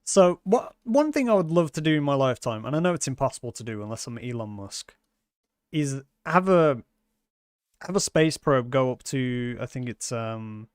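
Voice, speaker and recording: A man's voice: mid-range voice, clear, resonant tone, no echo, clean studio sound. A man chatting casually and joking with friends during a livestream. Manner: joking, animated storyteller. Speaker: livestream gamer. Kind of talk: casual chat.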